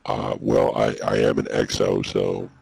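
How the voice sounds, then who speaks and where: A man's voice: level tone, measured pace, darkish tone, no echo, full character voice. A man voicing a dry, skeptical male robot co-host who takes things literally, in a comedic in-character podcast. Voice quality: very deep gravelly voice.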